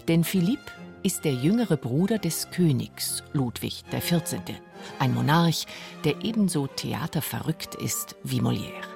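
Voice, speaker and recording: A man's voice: a little high, expressive, unhurried, creaky, over music. A man reading scripted documentary narration for a radio feature. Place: radio studio.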